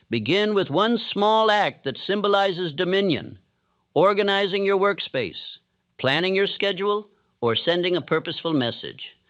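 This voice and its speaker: A man reading scripted self-help narration for sleep in a calm, steady, measured rhythm, with a solemn, formal delivery. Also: on the high side, dry recording, highs cut off. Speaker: audiobook-style narrator.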